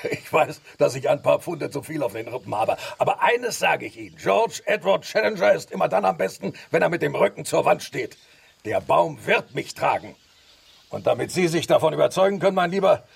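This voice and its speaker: Gravelly, boastful professor. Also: male voice, a little high, expressive, moderate pace, heavily acted. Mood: pompous, commanding. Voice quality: gravelly, husky. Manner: pompous, commanding. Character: stout, boastful, domineering male professor and explorer. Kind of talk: German radio drama acting.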